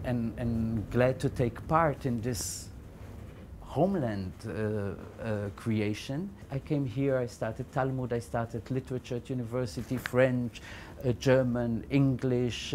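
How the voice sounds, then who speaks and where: A middle-aged man from Belgium, telling his life story in a reflective, halting way, often repeating words. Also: medium pitch, darkish tone, a little hiss or hum. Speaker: interviewee, founder of a Yiddish library. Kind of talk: personal testimony to camera.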